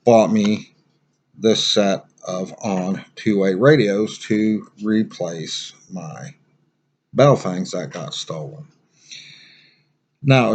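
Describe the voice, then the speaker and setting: Male, American, fairly low pitch; plain-spoken survival instructor doing a loose, unscripted gear review. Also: a little mellow. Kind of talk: casual unscripted product review.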